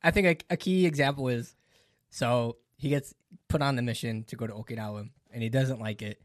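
A man's voice: medium pitch, brisk, dry recording, clean studio sound. Laughing man retelling film scenes. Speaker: film discussion co-host. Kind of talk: casual film discussion.

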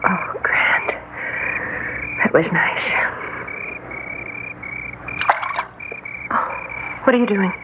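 An American actress playing a tender young fiancee hiding dread, with a hushed, breathy voice, in a 1960s radio drama. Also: female voice, mid-range voice, slow pace, very noisy, lightly acted. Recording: dull vintage radio recording.

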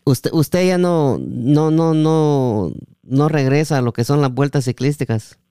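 A man hosting a casual interview, halting and repeating words as he forms his questions. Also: on the high side, mellow, slight background noise. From Guatemala. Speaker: podcast host.

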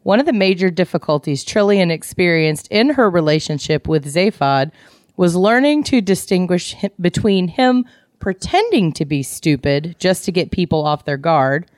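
A woman's voice: lowish voice, expressive, normal speed, darkish tone, dry recording. A woman chatting casually as a podcast co-host, candid and joking with salty language. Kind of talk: casual chat about books.

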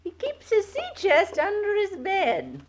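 A woman's voice: very high voice, wildly swooping pitch, a little slow, a little hiss or hum, exaggerated voice. An actress playing a gossipy, nosy middle-aged London landlady with a Cockney accent, theatrical and relishing in an old radio drama. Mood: gleeful, gossipy. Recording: dull vintage radio recording.

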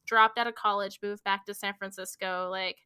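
A young woman in her twenties chats casually as a podcast guest, her voice bright and smooth. She rambles along, full of "like" and "um". The sound is dry and close, with no room around it. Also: medium pitch, little pitch movement, normal speed, dry recording. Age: 20s. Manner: rambling, filler-heavy storytelling with frequent "like" and "um". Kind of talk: casual chat.

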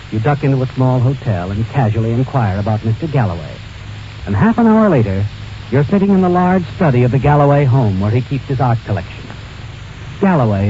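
An actor playing a knowing, taunting narrator who tells a suspense story in 1940s radio style. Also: male voice, medium pitch, mellow, a little hiss or hum, lightly acted. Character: dramatic, knowing male narrator addressing the schemer. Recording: dull vintage radio recording with music.

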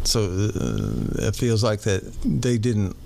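A man's voice: on the low side, sing-song, takes it slowly, gravelly, warm tone, slight background noise. A man from Indiana hosting a recovery podcast, talking in a rambling, casual way with plenty of fillers and restarts. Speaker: podcast host.

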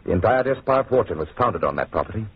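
An honourable, courteous nobleman prisoner in a vintage radio drama. His voice is low and very husky, and the recording is narrow and muffled. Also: lively intonation, fast talker, exaggerated voice. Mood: solemn confession. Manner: courteous, conscientious. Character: earnest, honourable French nobleman, wronged prisoner. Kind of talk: old-time radio drama acting.

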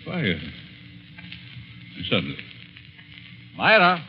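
An actor playing a courteous, middle-aged professor of psychology who feigns innocence, in a theatrical 1940s radio style. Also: male voice, fairly high pitch, huge pitch swings, takes it slowly, soft background music, heavily acted. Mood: courteous, feigned surprise. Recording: muffled scratchy 1940s radio recording.

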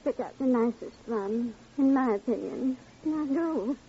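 An actress playing a dainty, chatty, slightly dotty widow with affected manners in an old radio drama. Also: female voice, fairly high pitch, normal speed, dark tone, lightly acted. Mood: dreamy, chatty. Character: genteel, dotty widow who enjoys attending strangers' funerals. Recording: muffled, noisy old radio recording.

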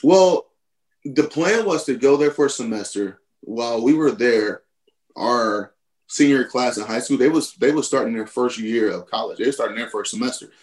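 A man in his thirties, a former college football player, telling stories on a podcast in a casual, animated way, laughing and jumping high in pitch when he quotes himself. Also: moderate pace, rough recording. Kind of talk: casual storytelling.

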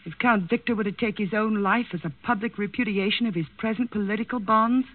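A woman's voice: medium pitch, brisk, lightly acted. A woman acting a poised, calculating countess, speaking in a refined, formal way in a 1940s radio drama. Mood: cool, calculating. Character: poised, calculating aristocratic countess, coolly composed. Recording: tinny 1940s radio broadcast recording.

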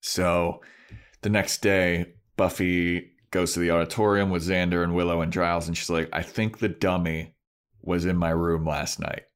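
Guy with a lowish voice, joking podcast chat, recapping stuff casually. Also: flat delivery, moderate pace, clean tone. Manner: informal, joking. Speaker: podcast co-host. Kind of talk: casual chat, retelling a TV episode with acted-out quotes.

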